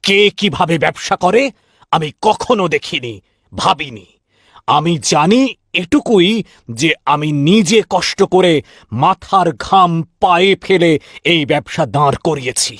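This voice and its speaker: A man playing a stern, honest, weary middle-aged father and shopkeeper in a cartoon, speaking gravely and emphatically. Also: on the high side, lively intonation, unhurried, a bit bright, no room sound, studio quality, exaggerated voice. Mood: indignant. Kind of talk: cartoon voice acting.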